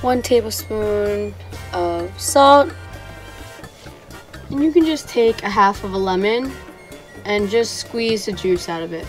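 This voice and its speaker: A woman's voice: medium pitch, slow, long pauses. A young woman who sounds stuffed up from a cold chats her way through a recipe in her home kitchen. She talks loosely, full of slang and filler words. Faint music plays under her voice. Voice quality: chatty, laid-back, sprinkles in slang and fillers. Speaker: cooking vlogger. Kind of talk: casual cooking tutorial.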